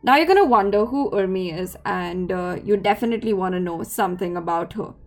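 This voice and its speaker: A woman's voice: medium pitch, fairly quick. A woman hosting her podcast, reading a grateful intro and then asking questions. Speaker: podcast host. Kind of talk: scripted intro, then interview.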